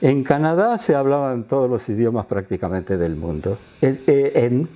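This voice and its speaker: An Argentine man in his eighties who grew up speaking Quechua tells of his own life as a guest in an interview. His voice is soft-edged and mellow, at a middling pitch, with the phrasing of a former announcer. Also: lively intonation, normal speed, highs cut off. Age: 80s. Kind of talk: autobiographical storytelling in an interview.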